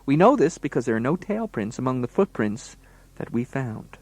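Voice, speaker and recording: A man's voice: mid-range voice, big pitch swings, moderate pace, no room sound. A man working as a children's entertainer, playing the straight man in comedy banter and explaining things to young listeners.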